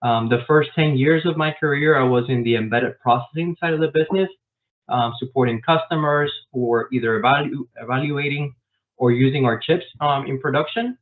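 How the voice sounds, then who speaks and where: A man raised in Miami answering interview questions about his work as a software developer, explaining step by step with frequent "um" fillers, over a video call. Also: medium pitch, fairly quick. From grew up in Miami, Florida. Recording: tinny phone-like online call.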